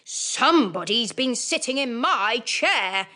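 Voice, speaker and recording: A woman voicing a great big male bear from a storybook in a rough, gruff voice, speaking heavily. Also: fairly high pitch, lively intonation, sharp tone, voice pushed up, exaggerated voice. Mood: gruff, indignant. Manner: slow, heavy delivery. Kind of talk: storybook character voice.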